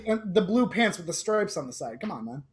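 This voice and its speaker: A man's voice: high-pitched, very animated, moderate pace. A young man, a voice actor, casually explaining himself on a group video call. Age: young adult. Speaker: voice actor in a cast Q&A.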